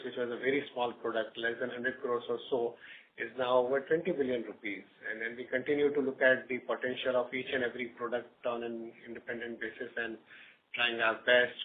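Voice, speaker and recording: A man with an Indian accent answers questions as a company executive on an earnings call, in halting corporate talk with repeated words. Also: mid-range voice. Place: conference call over phone line. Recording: tinny phone line with background noise.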